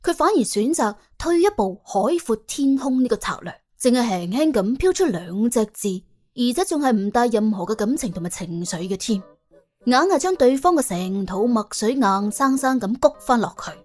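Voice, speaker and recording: Lively higher-pitched Cantonese woman storyteller. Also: normal speed. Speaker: audiobook narrator. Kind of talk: colloquial, expressive scripted storytelling.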